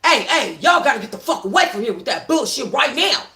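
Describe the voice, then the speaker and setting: A woman's voice: high voice, very animated, brisk, pushed and bright, echoey room, exaggerated voice. A woman voicing a street-tough, defensive young man with blunt, emphatic slang, in an audiobook-style story reading. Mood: fed up.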